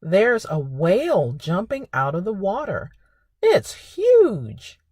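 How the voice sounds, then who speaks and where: A woman hosting a preschool storytime, speaking in simple, expressive phrases for young children. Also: mid-range voice, slow, dry recording, clean studio sound. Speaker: children's librarian and storytime host. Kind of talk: preschool storytime reading and narration.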